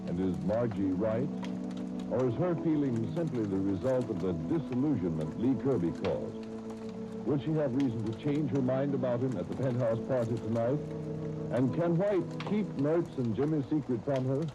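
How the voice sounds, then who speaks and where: A man's voice: mid-range voice, animated, normal speed, loud music behind the voice. A man working as a radio announcer, reading scripted copy in 1930s style. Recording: muffled, hissy 1930s radio disc.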